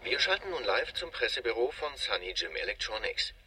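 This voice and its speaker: Bright-voiced radio news reporter. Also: male voice, medium pitch, very animated, fairly quick, breathy edge, exaggerated voice. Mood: brisk, probing. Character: brisk, probing male radio news reporter. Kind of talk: radio news presenting in a drama.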